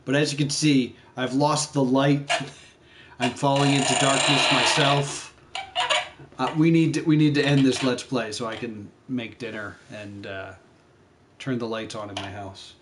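A man's voice: mid-range voice, steady tone, measured pace, noisy background. A man giving wry, joking live commentary as he streams a video game at home. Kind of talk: live Let's Play commentary. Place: at home, indoors. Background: game music.

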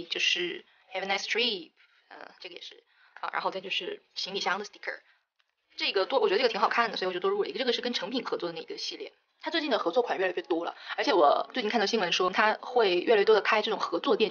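A young woman talks quickly and eagerly through a stationery unboxing, full of little fillers and delighted asides. Her voice sits at a middling pitch. The recording sounds a bit dull and lo-fi. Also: fairly bright tone. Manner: chatty, enthusiastic, many fillers. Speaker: stationery vlogger. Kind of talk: casual unboxing narration.

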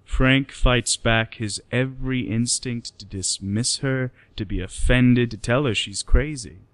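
A man's voice: medium pitch, unhurried, a bit bright, no echo. A man narrating a scripted radio play in the present tense.

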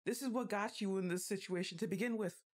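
A woman playing a goth giantess witch girlfriend, exasperated and fondly bossy, with a drawn-out teasing delivery in an intimate ASMR roleplay. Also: mid-range voice, darkish tone, natural voice. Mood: pleading, fond. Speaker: audio roleplay performer.

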